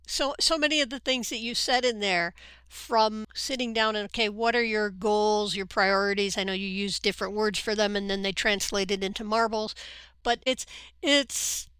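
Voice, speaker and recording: A woman's voice: a little high, fairly bright tone, clear, resonant tone, no echo. A woman hosting a podcast interview, talking with enthusiasm and often repeating words as she thinks. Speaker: podcast host. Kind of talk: conversational interview.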